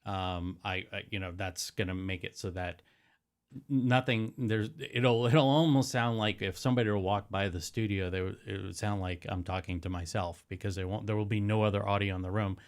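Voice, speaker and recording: A man's voice: on the low side, steady tone, brisk. A man with a nasal voice demonstrating live streaming audio gear in his studio, talking casually into a close dynamic microphone with many fillers and restarts. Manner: rambling, self-correcting, with frequent fillers. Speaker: live streaming tech host. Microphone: close dynamic broadcast microphone.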